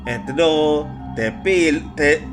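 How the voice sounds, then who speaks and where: A man who is a non-native English speaker tells a ghost story in ASMR style, halting and repeating words. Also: fairly high pitch. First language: not English. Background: music.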